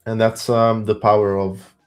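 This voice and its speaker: A man's voice: on the low side, level tone, moderate pace, soft background music. A man with a heavy Belgian accent hosting a live trading stream, thinking aloud as he counts through trades and results.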